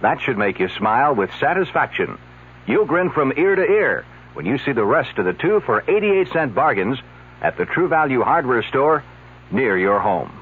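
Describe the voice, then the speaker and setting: A man's voice: medium pitch, sing-song, normal speed, slightly rough. A man reading a radio commercial in a friendly, chatty sales manner. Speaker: announcer. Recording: tinny old radio ad.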